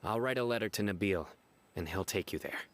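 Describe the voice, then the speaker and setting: An actor plays a calm investigator from a video game with a very low, deep voice. He lays out plans in a steady, deliberate way. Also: lightly acted.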